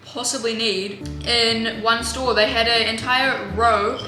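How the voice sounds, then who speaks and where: A woman rambles through a vlog in her kitchen, dropping sarcastic, deadpan asides in a fairly high voice. The camera mic picks up a roomy echo, with music playing loudly under her. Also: slow pace. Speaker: vlogger. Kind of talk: casual vlog chat.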